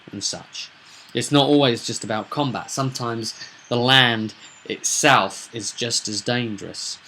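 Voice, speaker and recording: Over noticeable background fan noise, a British man speaks in a bright, forward voice at a middling pitch. He explains tabletop game mastering in a casual vlog style, pausing and restarting, then leaning hard on important words. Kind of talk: casual explanatory vlog about a roleplaying session.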